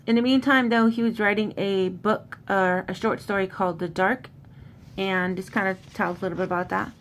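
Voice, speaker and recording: A woman talks through a story in an informal, slangy way, like a host recapping a book for listeners. Her voice sits at an ordinary mid pitch. There is noticeable noise and a low rumble behind her. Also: steady tone, normal speed. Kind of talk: casual book summary with commentary. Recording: clearly noisy, low background rumble.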